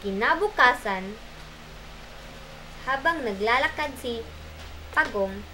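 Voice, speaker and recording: A woman's voice: a little high, lively intonation, takes it slowly, bright, forceful tone, slight background noise, rough recording. A woman narrating an amateur puppet show as an informal storyteller.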